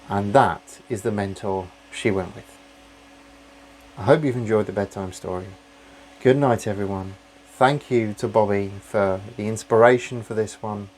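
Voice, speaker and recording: A man in his thirties reading out a bedtime story with a lilting, sing-song storyteller's lift on the fairy-tale phrases. He talks straight to a camera from his home office desk, in a medium-pitched voice. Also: darkish tone, low-quality recording. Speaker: channel host.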